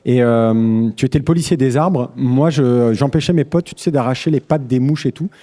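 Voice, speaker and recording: A man's voice: mid-range voice, mellow. A man from the Paris region interviewing a guest in a casual, friendly way. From Paris region of France. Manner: friendly, quick back-channel replies.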